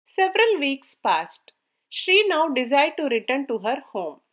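High-pitched woman reading a story aloud. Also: big pitch swings, unhurried, a bit bright. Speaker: story narrator. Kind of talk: scripted storybook narration.

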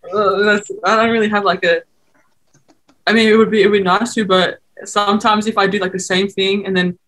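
A woman's voice: medium pitch, moderate pace, pauses often, a bit bright, low-quality recording. A young Australian woman, a college basketball player, chatting playfully over a video call. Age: young adult. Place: small room, indoors.

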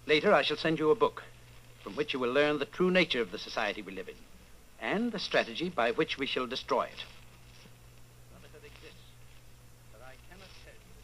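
A man playing a calm, authoritative underground leader, speaking gravely and deliberately in an old-time radio drama. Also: medium pitch, noisy background, natural voice. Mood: calm, instructive. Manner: measured, deliberate, solemn.

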